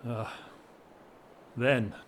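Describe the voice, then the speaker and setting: An actor playing a devoted, restrained gentleman in love, halting and formal, in a period audio drama. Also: medium pitch, fairly even intonation, a little hiss or hum. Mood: ardent, restrained.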